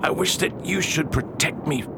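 Radio-play druggist father, older, courteous, slightly high male voice performed by an actor, clearly noisy sound. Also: moderate pace, lightly acted. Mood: weak, pleading. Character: ailing father and druggist, polite, anxious old patient. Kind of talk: audio drama acting.

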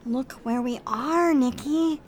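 Woman acting eager, high-voiced female investigator. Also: takes it slowly, slight background noise. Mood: urgent. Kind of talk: audio drama acting.

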